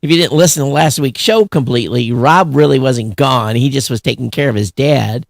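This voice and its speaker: A man's voice: medium pitch, moderate pace, gravelly, no room sound, clean studio sound. An American man chatting casually and unscripted as a podcast co-host, in a rambling, conversational way. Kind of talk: casual unscripted show chat.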